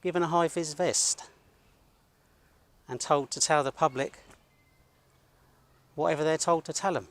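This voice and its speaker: A middle-aged man hosting a vlog monologue, speaking in blunt, grumbling, deliberate phrases. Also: on the high side, fairly bright tone. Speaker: host of an online video channel.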